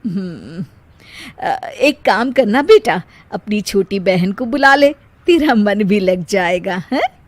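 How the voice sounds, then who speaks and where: A woman as a bossy, slow-talking older mother-in-law. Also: medium pitch, animated, dry recording. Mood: concerned, coaxing. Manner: questioning, scolding interjections.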